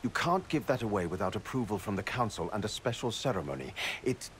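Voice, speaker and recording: An actor playing an exasperated, by-the-book commander in a video game. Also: male voice, fairly low pitch, sing-song, fast, fairly bright tone, slight background noise. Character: stiff, rule-bound male military commander.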